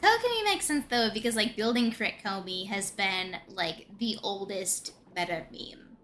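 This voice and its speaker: A woman streams a game with casual, chatty commentary, thinking out loud as she goes. Her voice is bright and forward, with lively swings in pitch. Faint noise sits behind her. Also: measured pace. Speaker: live streamer.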